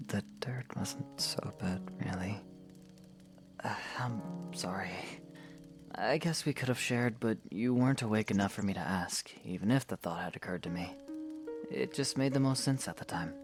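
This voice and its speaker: A man's voice: lowish voice, moderate pace, over music, natural voice. A man playing a humble, protective young swordsman in a fantasy audio drama, speaking in an earnest, hesitant way. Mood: earnest, awkward. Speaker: voice actor.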